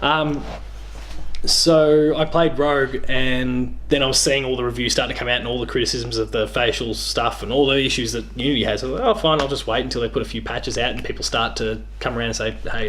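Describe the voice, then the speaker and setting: Australian male host, thirties, quick casual gaming talk, mid pitch, faint background noise. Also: fairly even intonation, a bit bright. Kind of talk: casual chat about video games. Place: living room, indoors.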